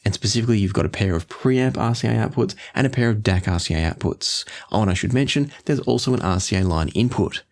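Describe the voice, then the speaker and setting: A man hosting an audio gear review, explaining things in a measured, orderly way. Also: lowish voice, darkish tone. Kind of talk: product review to camera.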